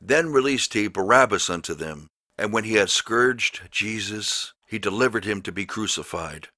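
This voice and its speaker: Bright, forceful man reading scripture solemnly. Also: lowish voice, expressive, normal speed, dry recording, clean studio sound. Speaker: Bible narrator. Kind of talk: dramatic scripture read-aloud of the King James Bible.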